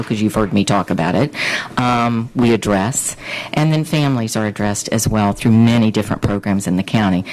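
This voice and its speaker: A man's voice: lowish voice, rough recording. Man explaining points at a commission meeting. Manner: explanatory, setting the record straight. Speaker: city commissioner. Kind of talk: speaking at a public meeting. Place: city commission chamber.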